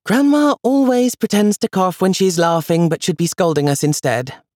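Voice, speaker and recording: A man's voice: sits high, big pitch swings, moderate pace, no room sound, studio quality, pitched above the performer's own voice. A man doing a prim, lecturing young girl. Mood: exasperated. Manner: exasperated, lecturing. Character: prim, know-it-all older granddaughter.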